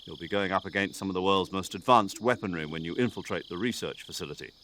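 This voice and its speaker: A man voicing an older military commander in a video game, precise and drily good-humoured, articulate and measured as he briefs an agent. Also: sits low, fairly bright tone, a little hiss or hum. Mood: businesslike, grave. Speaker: mission commander giving a radio briefing.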